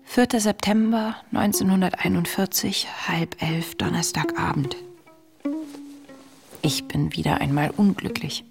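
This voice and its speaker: An actress playing an earnest, introspective young woman reading her wartime diary in a radio play, measured and intimate. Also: lowish voice, fairly even intonation, gravelly. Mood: unhappy. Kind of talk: radio drama diary monologue. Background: music.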